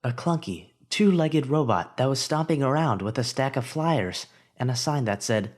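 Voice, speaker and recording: A man's voice: mid-range voice, lively intonation, normal speed. A man narrating a fan-made audiobook of a story, reading expressively and lifting his voice for the hero's inner thoughts.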